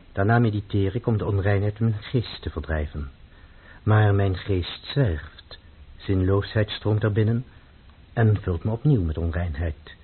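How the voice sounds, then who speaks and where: An actor playing a stern, devout, grave poet in a radio play, speaking in a measured, formal way. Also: male voice, on the low side, slightly rough. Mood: solemn, confessional. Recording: muffled, phone-like old radio recording.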